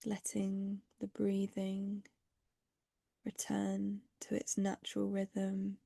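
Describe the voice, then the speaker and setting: Slow, soft-spoken woman guiding meditation. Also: mid-range voice, monotone, creaky, husky, dry recording, clean studio sound. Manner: gentle, unhurried, soft with long pauses. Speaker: meditation guide. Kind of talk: guided mindfulness meditation.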